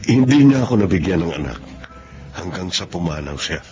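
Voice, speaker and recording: Low-voiced remorseful Filipino father. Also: big pitch swings, a little mellow. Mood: regretful. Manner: halting, pleading delivery. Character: remorseful father who abandoned his family, pleading. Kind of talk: Tagalog radio drama acting. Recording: dull lo-fi sound with music.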